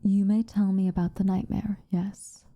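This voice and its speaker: A woman playing a stern but protective witch in an ASMR roleplay, speaking softly and formally, often in a breathy whisper. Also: medium pitch, level tone, dry recording, dull audio, lightly acted. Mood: calm, caring. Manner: measured, formal, gently commanding.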